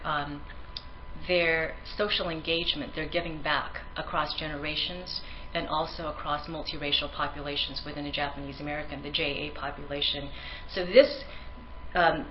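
A woman's voice: deep voice, highs cut off, slight background noise. A woman researcher giving a conference presentation in an earnest manner, with frequent ums and restarts; her first language is Tagalog.

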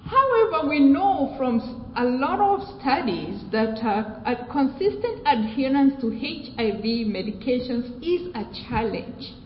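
A woman from Kenya with a British accent, giving a measured academic presentation with fillers and restarts. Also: sits high, sing-song. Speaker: research presenter. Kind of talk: academic conference talk. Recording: echoey hall, dull sound, faint noise.

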